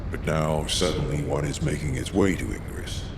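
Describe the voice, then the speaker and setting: A man playing a grave, commanding military leader in a video game, stern, formal and deliberate. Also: rumbling low. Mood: grave, alarmed. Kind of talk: video game cutscene acting. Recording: echoey hall reverb, background ambience noise.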